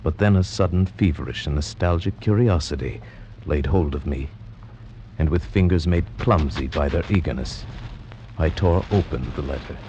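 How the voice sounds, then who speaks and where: An actor narrating as a grave gentleman recalling a dreadful visit, in 1940s radio drama style. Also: extremely low pitch, a little hiss or hum. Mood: solemn. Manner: solemn, measured storytelling. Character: grave gentleman, friend of a doomed man. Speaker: narrator in character. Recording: muffled old radio with music underneath.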